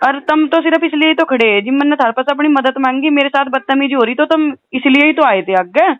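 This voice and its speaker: A woman's voice: high voice, brisk, no room sound. A woman with a western Uttar Pradesh accent talks on a recorded phone call in an insistent, aggrieved way, often adding questioning tags. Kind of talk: heated phone conversation.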